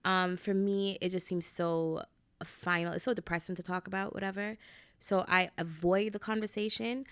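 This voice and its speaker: A woman's voice: fairly low pitch, a bit bright, no room sound. A woman from Jamaica with a Jamaican accent, a guest on a podcast, speaking candidly and teasing her cousin. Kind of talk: casual podcast conversation.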